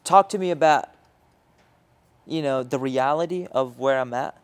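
A young man from Syria whose first language is Arabic, co-hosting a casual podcast chat in a halting way, full of "like" and restarts. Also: mid-range voice. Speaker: podcast co-host.

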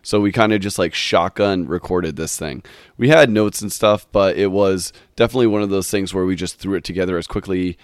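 A young man with a very low, slightly nasal voice talks loosely into a dry, close mic. He is still getting over a cold that took part of his voice. His talk wanders along with plenty of fillers. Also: a little fast, dry recording. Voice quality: a little nasal. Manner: rambling, many "like" fillers. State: recovering from a cold, voice partly lost. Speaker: podcast host. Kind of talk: solo podcast intro, casual chat.

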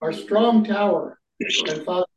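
A man's voice: high voice, measured pace. A man leading a prayer and introducing a guest as host of a ministry gathering, fervent and prayerful. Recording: echoey, muffled, distant mic.

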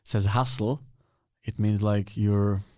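A Czech man hosting a podcast, speaking English with a Czech accent in halting phrases with frequent restarts. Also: lowish voice, fairly even intonation, a little mellow, no room sound, studio quality. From Czech Republic. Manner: halting English, restarts and repeats words, laughs easily. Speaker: podcast host. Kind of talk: casual interview conversation.

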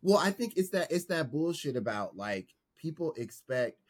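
Animated, joking male podcast co-host. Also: fairly high pitch, moderate pace, breathy edge. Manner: joking, animated, swears casually. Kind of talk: casual chat.

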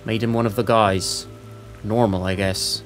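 A man's voice: lowish voice, fairly even intonation, unhurried, soft background music, lightly acted. A man playing a brusque, wisecracking veteran police sergeant in a noir audio drama, slangy and no-nonsense. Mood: wry, friendly.

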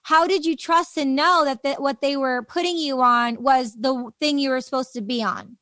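A woman's voice: high-pitched, normal speed, fairly bright tone, dry recording, studio quality. A woman hosting a podcast, interviewing in a casual, conversational style.

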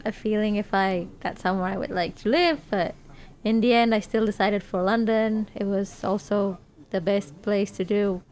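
A woman's voice: mid-range voice, darkish tone. A young woman from Romania with a Romanian accent, a guest chatting casually, answering in a dry, teasing way. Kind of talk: casual chat. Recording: faint background noise.

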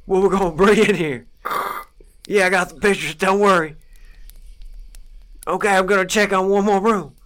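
A man's voice: sits high, moderate pace, long pauses, fairly bright tone, a little hiss or hum. A man acting a rattled, wary field agent, muttering terse fragments of an eerie horror log. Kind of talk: dramatic reading of a horror log.

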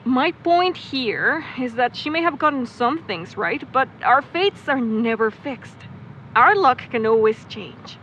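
Actress as supportive teasing young woman, audio drama, bright voice, chatty and reassuring, faint noise behind. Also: high voice, lively intonation, unhurried, dull audio. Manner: conversational, reassuring. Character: supportive, teasing young traveller, loyal friend in a van. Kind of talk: naturalistic audio drama acting.

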